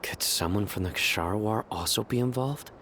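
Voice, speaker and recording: Brief: a calm, serious game warrior, low and measured. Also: male voice, a little hiss or hum. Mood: analytical. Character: stern young male desert warrior, calm and watchful. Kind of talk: game voice acting.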